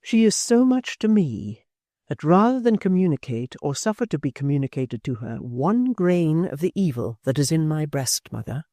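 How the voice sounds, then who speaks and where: A proud, bitter young woman who speaks in a low, steady, measured voice, as a male reader plays her. The tone is mellow and slightly raised for a man, from a storybook reading. The sound is dry and close. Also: no room sound. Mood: cold. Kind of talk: audiobook character voice.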